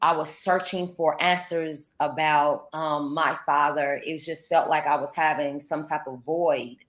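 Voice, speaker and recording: A woman's voice: sits low, steady tone, unhurried, a bit bright. A woman in her forties, a life coach and teacher, talking about herself in a casual, enthusiastic video call chat. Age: 40s. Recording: echoey room.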